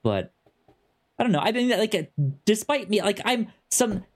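A man with a stutter hosting a casual podcast, halting with many ums and restarts. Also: high-pitched, expressive. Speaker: podcast host.

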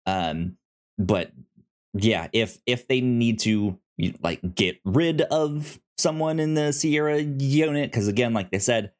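A man co-hosting a film review podcast, chatting casually with a halting, stammering delivery. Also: medium pitch, expressive. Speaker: podcast co-host reviewing films. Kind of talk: casual movie review chat.

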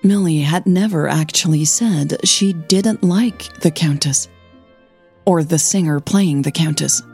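Slow, mellow woman narrating a story. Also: low voice, vocal fry, breathy edge, faint music behind. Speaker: narrator of fiction audio drama.

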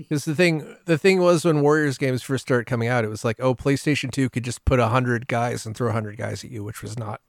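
A man's voice: mid-range voice, a little fast, vocal fry, no room sound. Man chatting in a dry, close recording. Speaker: podcast host. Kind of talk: casual chat.

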